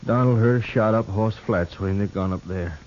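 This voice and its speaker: A man's voice: on the low side, normal speed, natural voice. Strained young frontier man played by an actor. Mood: pained, worried. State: in pain, strained. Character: wounded, worried farmer's son, gruff older brother. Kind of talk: 1950s radio western acting. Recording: muffled, hissy vintage radio recording.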